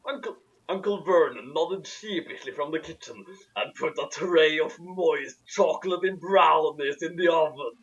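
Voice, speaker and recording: A man's voice: fairly high pitch, moderate pace, fairly bright tone, muffled recording. A man reading fan fiction aloud theatrically, with sarcastic asides. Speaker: narrator.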